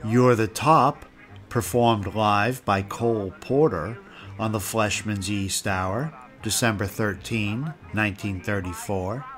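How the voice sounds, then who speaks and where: A man with a slightly low voice narrates as a podcast host. He reads scripted credits at a slow pace, with faint music behind him. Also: a bit bright.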